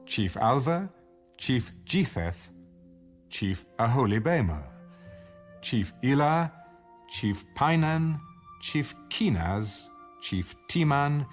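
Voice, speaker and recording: A man reading scripture as a scripted dramatized narration, in a measured, solemn storytelling manner. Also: medium pitch. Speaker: audio Bible narrator. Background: music bed.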